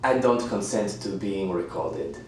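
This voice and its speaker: A man playing a smug, manipulative houseguest in a radio thriller, measured, falsely reasonable and condescending. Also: deep voice, animated. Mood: defensive. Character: smug, manipulative male houseguest who refuses to leave. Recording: roomy, distant, faint background noise.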